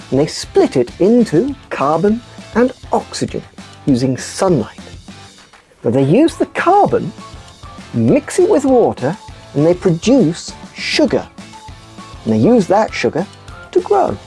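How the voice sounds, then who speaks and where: A woman voicing an excitable, playful puppet news presenter on a children's show, with a theatrical, expressive delivery. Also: lowish voice, exaggerated voice.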